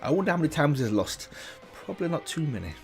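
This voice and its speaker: A man's voice: on the high side, big pitch swings, fast, gravelly, darkish tone, faint music behind. A man hosting a reaction video, thinking aloud in casual commentary with frequent restarts and self-corrections.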